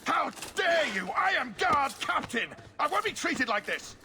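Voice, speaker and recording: Shrill, furious shouting guard captain. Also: male voice, sing-song, measured pace, faint music behind, full character voice. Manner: shouting, indignant. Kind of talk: dramatic game cutscene acting.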